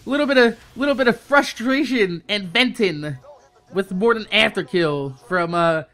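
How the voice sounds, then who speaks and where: A man's voice: high-pitched, normal speed. A young American man telling a casual storytime rant as a YouTube host, swearing heavily and repeating himself in exasperation. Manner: profane, exasperated, animated venting with emphatic repetition.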